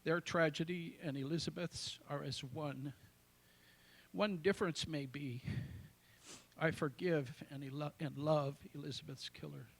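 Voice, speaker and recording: An American man in his sixties with a tenor voice, reciting his own poetry in deliberate, emphatic phrases with dramatic pauses. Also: on the high side, fairly even intonation, creaky. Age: 60s. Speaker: amateur poet.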